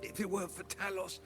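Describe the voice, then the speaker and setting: An actor playing a frail, ailing old man in a video game, weary and halting, breathy and coughing. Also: high voice.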